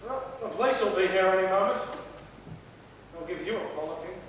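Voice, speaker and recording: An actor playing a scornful, blunt rival in a stage drama, with a taunting manner. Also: male voice, fairly quick, close to an everyday voice. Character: scornful, blunt male rival in a love triangle. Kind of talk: theatrical stage drama acting. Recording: echoey hall, distant mic, faint rumbling noise.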